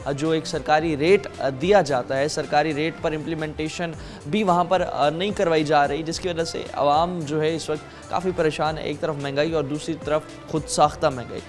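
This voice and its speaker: A man reporting the news and then interviewing a guest as anchor, earnest and drawn-out, pausing often on fillers. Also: a little high, fairly even intonation, faint music behind. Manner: earnest, drawn-out delivery with many filler pauses.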